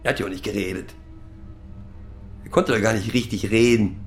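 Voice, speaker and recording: An actor playing a bitter pub regular in a radio drama, ranting in halting, repetitive colloquial speech. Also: male voice, on the low side, fairly even intonation, faint music behind, natural voice. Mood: disgusted ranting. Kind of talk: naturalistic radio drama monologue.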